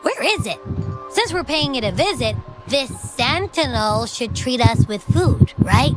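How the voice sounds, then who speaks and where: High, cutesy, gluttonous little creature. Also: female voice, expressive, measured pace. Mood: curious, eager. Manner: cutesy, playful, exclamatory. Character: small, gluttonous, boastful, childlike female companion creature. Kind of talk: anime game voice acting. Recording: game music behind the voice.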